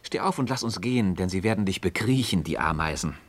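An actor playing a thoughtful philosopher in a German radio play, questioning a friend in a measured, deliberate, didactic way. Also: male voice, sits low, expressive. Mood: musing, probing. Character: thoughtful, probing male philosopher leading a friend through argument.

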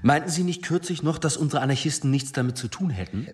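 An actor playing a weary, guarded police chief in a radio drama, speaking formally and probingly with a superior's reproving edge. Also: male voice, a little high, fast, breathy edge. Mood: sceptical, probing.

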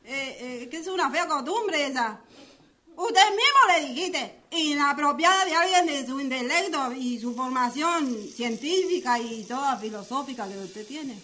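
A woman's voice: high-pitched, expressive, measured pace, pushed and bright, slight background noise, heavily acted. A woman playing a nervous, servile, muddled maid in a radio drama, stammering and deferential, with an Argentine accent. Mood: fearful pleading. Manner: stammering, deferential, rambling, tangled grammar.

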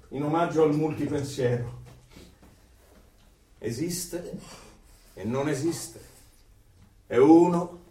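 An actor playing a cold, controlling regime interrogator in a radio drama, speaking in a measured, didactic, menacing way with authority. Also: male voice, mid-range voice, a little mellow, lightly acted. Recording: roomy, distant, faint noise.

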